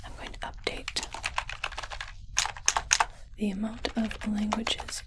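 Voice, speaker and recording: A woman playing a calm, clinical but kindly alien medic in a whispered ASMR roleplay, hesitant and softly reassuring. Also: mid-range voice, takes it slowly, heavy background noise, close to an everyday voice. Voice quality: breathy unvoiced whisper.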